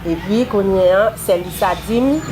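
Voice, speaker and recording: A woman's voice: mid-range voice, normal speed. Haitian woman speaking over noise. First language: Haitian Creole. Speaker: kitchen worker. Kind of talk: testimonial. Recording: clear background noise.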